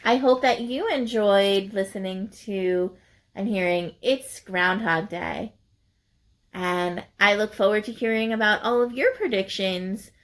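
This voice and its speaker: A woman storyteller reading a children's picture book aloud expressively, deliberate and emphatic for young listeners. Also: mid-range voice, fairly bright tone.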